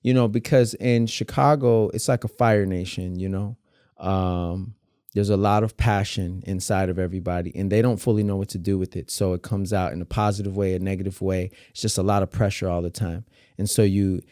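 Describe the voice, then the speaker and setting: A man speaks as a podcast guest in a low, mellow voice. He talks in an animated, emphatic way and builds each point through vivid comparisons. The sound is dry and close to the mic. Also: normal speed, clean tone, no echo. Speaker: dance teacher and battle judge, guest. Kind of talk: casual conversational interview.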